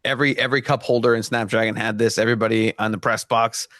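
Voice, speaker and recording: Man, from Mexico, native Spanish speaker, earnest podcast guest, dry sound, mid pitch. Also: little pitch movement, fast talker, a bit bright, dry recording. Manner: earnest, frequent restarts and fillers. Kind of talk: casual podcast discussion.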